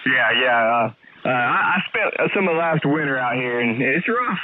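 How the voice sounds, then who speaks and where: American guy on ham radio, mid pitch, kinda halting casual talk, clear static. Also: animated. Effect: two-way radio. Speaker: amateur radio caller. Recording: narrow tinny ham radio signal, static.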